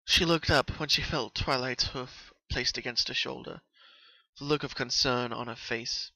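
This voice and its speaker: A man delivers dramatic scripted story narration at a middle pitch. His voice is bright and crisp-edged, recorded dry with very little room sound. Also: measured pace, no room sound, poor audio.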